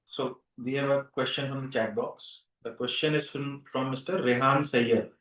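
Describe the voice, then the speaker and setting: A man's voice: mid-range voice, steady tone, normal speed, fairly bright tone. A man moderating an earnings call, reading out a submitted question. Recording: distant, echoey, muffled call audio.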